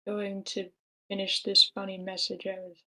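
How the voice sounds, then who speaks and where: A woman's voice: mid-range voice, completely flat delivery, normal speed, sharp tone, poor audio. A woman talking casually in an online group meeting, giving informal feedback.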